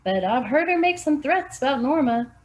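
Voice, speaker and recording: A woman's voice: high voice, expressive, moderate pace, a little mellow, voice pushed up. A woman reading aloud, voicing an easygoing male river guide who talks casually and passes on gossip. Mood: gossipy.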